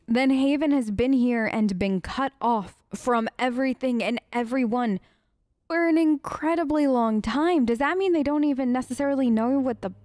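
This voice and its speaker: A woman's voice: sits high, a little mellow, no room sound, studio quality. A woman streamer role-playing her character in a game, chatty and emotive, with hesitant fillers. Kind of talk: role-play let's play chat.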